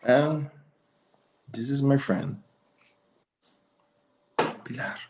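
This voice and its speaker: An actor playing an elderly, stubborn but tender Mexican-American rancher father in a table read, halting and slipping into Spanish. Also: medium pitch, a little mellow. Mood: flustered, courteous. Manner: halting, repeating words, dropping in Spanish. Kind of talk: screenplay table read acting.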